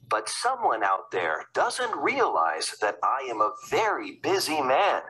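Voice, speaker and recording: A man's voice: mid-range voice, bright, forceful tone, slightly rough, voice pushed down, full character voice, low-quality recording. A performer voicing a stern, self-important man, a formal and authoritative President in a storybook. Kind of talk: storybook character reading.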